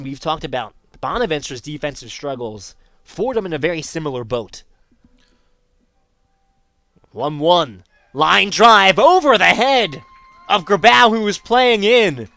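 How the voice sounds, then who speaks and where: A man with a fairly high-set voice works as a baseball play-by-play commentator. He describes the game in a live broadcast style. The sound is very dry, with no room around the voice. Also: sharp tone, no room sound.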